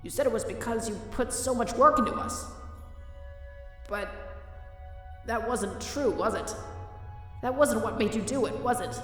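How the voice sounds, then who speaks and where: A woman's voice: on the high side, measured pace, long pauses, natural voice. A woman playing an innocent, hopeful young skeleton boy in a comic dub, earnest and pleading. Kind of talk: dramatic fan comic dub. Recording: distant, very echoey reverb under music.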